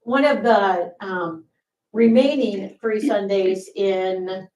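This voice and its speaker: A woman's voice: mid-range voice, takes it slowly. A woman pastor, giving casual announcements and opening worship in a church sanctuary. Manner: conversational, relaxed with fillers. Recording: roomy church sanctuary from a distance.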